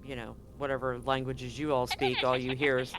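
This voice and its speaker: A man narrating as game master in a tabletop game, relaxed and full of fillers. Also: medium pitch, a little fast, background noise.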